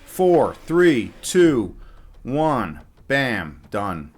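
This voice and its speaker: A man's voice: mid-range voice, sing-song, very slow. A man giving casual live commentary on his own game as a streamer, muttering half-finished thoughts to himself as he thinks aloud.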